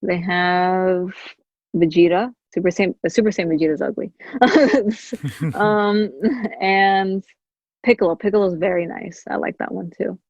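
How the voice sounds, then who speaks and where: A woman chats as a podcast guest in a cheerful, giggly way. Her voice sits low for a woman. The audio is dull and slightly lo-fi, with a dry sound. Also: measured pace, no echo. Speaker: guest, toy collector and YouTuber. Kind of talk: casual interview chat.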